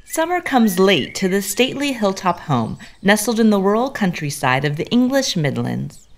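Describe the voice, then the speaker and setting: A woman's voice: mid-range voice, very animated, moderate pace. A woman narrating a scripted story as a storyteller in an audio drama.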